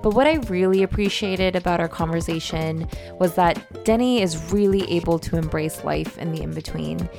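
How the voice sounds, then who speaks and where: Low, gravelly-voiced woman podcast host. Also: moderate pace, few pauses, a little mellow, faint music behind. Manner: curious, chatty, frequent fillers. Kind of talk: casual interview.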